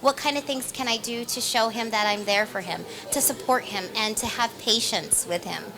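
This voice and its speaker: A woman's voice: a little high, normal speed, low-quality recording. A woman, a stage actress, giving conversational interview answers in an earnest, emphatic manner.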